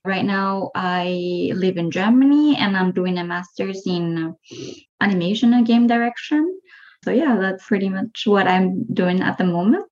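A woman from Guatemala, an animation student guest, speaking calmly in a casual interview. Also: medium pitch, measured pace, highs cut off.

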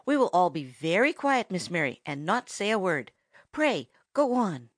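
A woman voicing an eager, good-natured young boy in a storybook, polite and excitable. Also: lowish voice, moderate pace, no echo, studio quality. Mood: placating.

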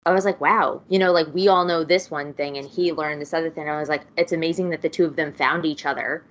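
A woman's voice: deep voice, fairly even intonation, fast talker. A woman chatting casually about a book, rambling through asides and many fillers. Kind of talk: casual chat. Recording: dull lo-fi sound.

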